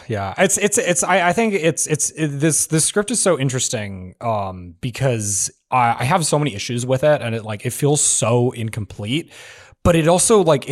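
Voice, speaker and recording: Stammering, effusive man in casual discussion. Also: mid-range voice. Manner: effusive, stammering, repeats words. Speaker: panelist.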